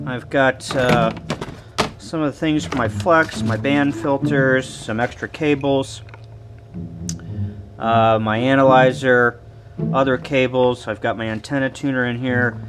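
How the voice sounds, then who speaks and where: A man doing a casual show-and-tell to camera in a halting, list-like delivery. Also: mid-range voice, background noise. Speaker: vlogger.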